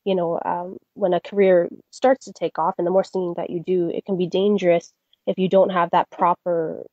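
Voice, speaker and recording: A young woman from Canada speaks with a mellow, low-set voice, friendly and full of little fillers. The sound is dry and a bit dull, like a muffled studio recording. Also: little pitch movement, normal speed, dry recording. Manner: friendly, many fillers and restarts. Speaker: guest singer. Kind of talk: casual interview answers.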